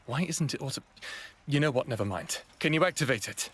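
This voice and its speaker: A wounded human smartass in a fiction podcast. His voice is rough and husky at an average male pitch. He is wry and self-deprecating, with anxious emotion under the sarcasm. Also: expressive, brisk, a bit bright. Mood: urgent, frightened. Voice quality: raspy. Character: wounded sardonic human man, thirty-eight, anxious and self-blaming. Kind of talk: first-person audio drama narration and dialogue.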